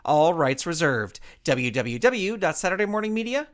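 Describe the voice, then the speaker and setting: A man's voice: a little high, big pitch swings, moderate pace. A man reading scripted credits and promos as an announcer, upbeat and addressing children.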